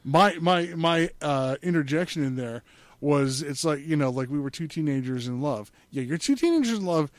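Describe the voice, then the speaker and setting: Crude, skeptical man, slightly high voice. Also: lively intonation, normal speed, no room sound. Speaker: podcast panelist. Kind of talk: casual, joking banter. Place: studio.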